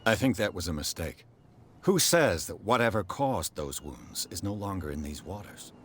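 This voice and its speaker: A dogged male investigator from a video game, low and husky in voice. He speaks in terse, clipped questions, and his intonation swings widely while staying blunt. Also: animated, normal speed, fairly bright tone, full character voice. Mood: probing. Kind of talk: video game cutscene dialogue.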